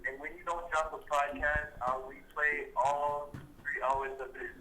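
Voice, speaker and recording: Man on a noisy phone call, halting. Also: fairly high pitch, level tone, bright, forceful tone. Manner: halting, with many fillers and restarts. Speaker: caller.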